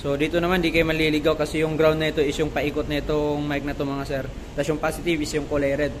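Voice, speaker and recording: A man's voice: fairly high pitch, flat delivery, fast, noisy background. A man with a Filipino accent chatting casually as a motorcycle vlogger, explaining things conversationally to his viewers and often repeating himself. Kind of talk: casual vlog chat and DIY walkthrough.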